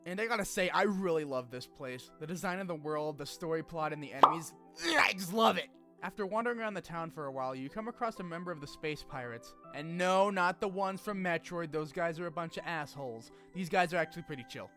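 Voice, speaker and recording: Higher-pitched man narrating a game recap. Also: fast talker. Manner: informal, swearing, animated asides. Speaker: video essay host. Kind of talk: scripted game story recap with casual asides. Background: music under the voice.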